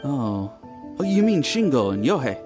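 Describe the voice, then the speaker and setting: An actor playing a chatty male villager who tells stories in an animated way in a video game. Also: mid-range voice, moderate pace, close to an everyday voice. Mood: gossipy. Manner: storytelling, animated. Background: game music.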